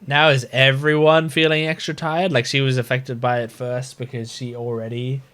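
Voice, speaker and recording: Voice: medium pitch, flat delivery, moderate pace, fairly bright tone. A gamer giving casual, reflective gameplay commentary and thinking aloud. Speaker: gamer reacting on a let's play channel.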